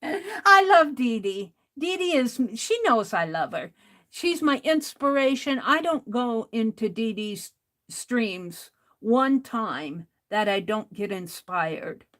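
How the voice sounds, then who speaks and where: A woman hosting an art livestream, talking casually to chat in a loud voice, rambling and restarting her sentences. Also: fairly high pitch, animated, takes it slowly.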